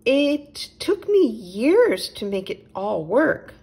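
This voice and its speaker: A woman's voice: a little high, big pitch swings, slow pace, creaky, darkish tone, slightly rough, pitched above the performer's own voice, exaggerated voice. A woman voicing an anxious, fussy and very polite little male owl in a children's storybook. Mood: polite, earnest. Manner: flustered, prim, speaks in small repeated phrases.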